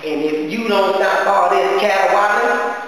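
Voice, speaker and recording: A man voicing a cold, drunk male slave master with a slow, dismissive drawl, recorded from far off in an echoey hall. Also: high voice, fairly bright tone, a little hiss or hum. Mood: cold, threatening.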